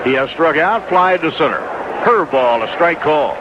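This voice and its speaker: A man with a fairly high voice gives live baseball play-by-play. The sound is a muffled old AM radio broadcast with clear noise behind him. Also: animated, normal speed. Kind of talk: live radio sports commentary. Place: stadium broadcast booth. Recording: noisy, dull 1980s AM radio broadcast recording.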